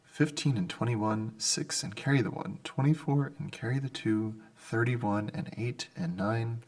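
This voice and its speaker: Male actor as humble clerk, deferential and earnest, very lively pitch, vintage radio-play style. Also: a little slow, gravelly, soft background music. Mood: busy muttering. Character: meek, apologetic male clerk, deferential to his boss. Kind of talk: old-time radio drama acting.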